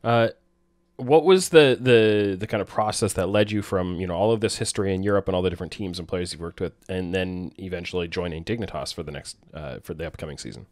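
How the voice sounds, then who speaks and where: Low-voiced man hosting an interview. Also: quick pace, darkish tone, no room sound. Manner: friendly, loose questions with "you know" and short acknowledgements. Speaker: interviewer, host.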